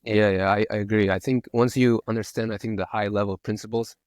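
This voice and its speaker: A man hosting a podcast, asking questions in a halting way, often restarting and repeating words. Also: fairly low pitch, fairly even intonation, a little mellow, no room sound, studio quality. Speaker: podcast host. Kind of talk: casual podcast interview.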